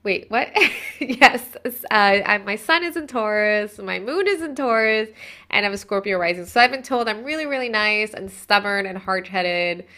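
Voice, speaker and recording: A woman in her thirties hosting a casual talk about spiritual practice. Her speech is chatty and rambling, full of restarts and asides. She sits at a middle pitch, neither high nor low. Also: expressive, normal speed, sharp tone. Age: 30s. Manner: chatty, meandering, self-interrupting. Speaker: host.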